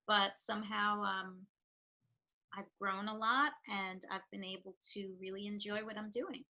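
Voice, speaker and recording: Bright, earnest New York woman. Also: medium pitch, flat delivery, measured pace, rough recording. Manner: reflective, earnest, with fillers. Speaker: real estate professional. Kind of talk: interview answers.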